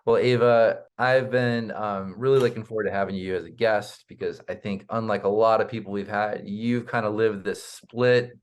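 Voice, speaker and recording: A man hosts an interview podcast in a loose, easygoing way, pausing on fillers as he builds his questions. His voice is on the low side. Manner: relaxed, hesitant, many ums. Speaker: podcast host. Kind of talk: casual interview hosting.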